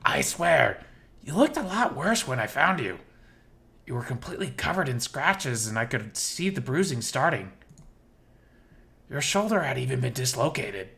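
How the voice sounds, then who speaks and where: A man's voice: mid-range voice, very animated, normal speed, gravelly, bright, forceful tone, heavily acted. A man voicing an upbeat, innocent and awkward young male bat in a visual novel, hesitant and earnest in manner.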